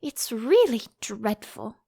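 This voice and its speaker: A woman's voice: fairly high pitch, sing-song, slow pace, creaky, warm tone, husky, full character voice. A woman voicing a curious, timid young storybook girl who is earnest, plaintive and easily exasperated.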